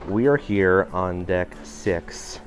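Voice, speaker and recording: A man giving an upbeat walking tour of a cruise ship, his voice a little raspy today. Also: low voice, slow pace. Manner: upbeat, enthusiastic guiding. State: slightly raspy voice. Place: indoor public areas of a cruise ship.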